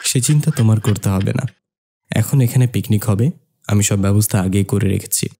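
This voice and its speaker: A man playing a bold, mischievous young man who narrates and acts in an animated horror tale. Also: lowish voice, brisk. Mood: bossy, playful. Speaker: narrator and character. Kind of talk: scripted horror cartoon narration and dialogue.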